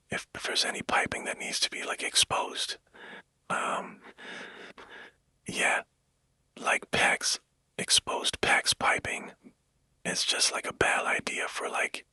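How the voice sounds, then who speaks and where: Man, breathy whisper, rambling, hesitant, casual ASMR-style monologue, close dry sound. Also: pushed and bright, no room sound.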